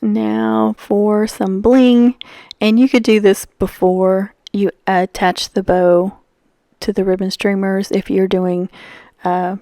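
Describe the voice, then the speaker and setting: A woman's voice: medium pitch, level tone, takes it slowly, gravelly, dark tone, no echo. Woman with a scratchy voice, chatty craft-tutorial host, rambling delivery, dry close sound. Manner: rambling, chatty, lots of fillers. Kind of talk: casual voice-over while crafting.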